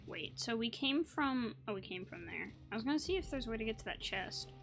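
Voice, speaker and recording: Gaming host: woman, fairly high voice, casual think-aloud commentary, playful asides, music underneath. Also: animated, fairly quick, gravelly, a bit bright. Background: game music.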